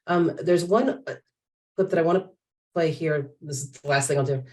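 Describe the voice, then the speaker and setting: A woman with a notably low voice presents informally to a group. She explains in short, halting phrases, and she sounds some distance from the microphone. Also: a little mellow. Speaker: panel presenter and teacher.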